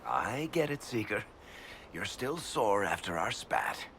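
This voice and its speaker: A man's voice: lowish voice, sing-song, takes it slowly, a bit bright, slight background noise, exaggerated voice. An actor voicing a wry, boastful male dwarf author in a video game, teasing and mock-humble.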